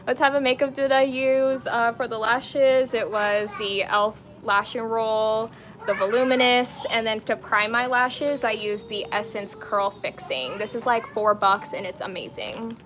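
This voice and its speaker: A woman's voice: fairly high pitch, measured pace. A woman in her late twenties chatting casually and informally to viewers on a get-ready-with-me livestream, using slangy language. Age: twenty-nine. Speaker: beauty and lifestyle live streamer.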